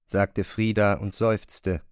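A man's voice: on the low side, little pitch movement, slow pace, clear, resonant tone, no echo, clean studio sound. A man reading scripted literary narration as the narrator of a story.

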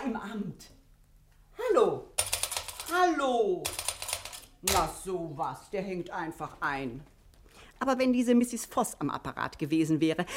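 A gossipy, loyal housekeeper in a 1960s radio comedy. Her lowish voice swings up and down as she fusses and exclaims, chatty, suspicious and full of her own importance. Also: darkish tone, slight background noise. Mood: harried, grumbling. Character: gossipy, suspicious, devoted older housekeeper of a judge.

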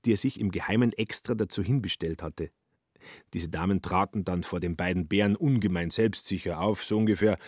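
A man narrating a literary radio drama in a measured, wry storytelling manner. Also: sits low, darkish tone, no echo, clean studio sound.

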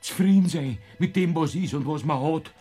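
An actor playing a stubborn old Bavarian farmer in a radio play, speaking dryly and in a folksy way, with music faintly behind him. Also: male voice, fairly high pitch, moderate pace, dark tone, natural voice. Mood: contented, folksy. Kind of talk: classic radio play acting.

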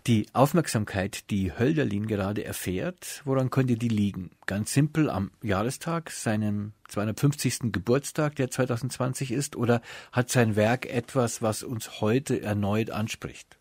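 A man presenting scripted radio introductions and interview questions in a measured, formal way. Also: on the low side, a little mellow, no room sound.

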